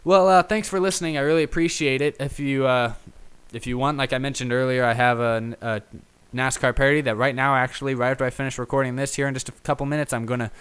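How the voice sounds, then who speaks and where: A man's voice: medium pitch, steady tone, fast, a bit bright, smooth. A young man hosting a casual solo talk show, chatting off the cuff in a rambling, self-deprecating way. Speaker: host of a YouTube racing talk show. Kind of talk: casual unscripted solo chat.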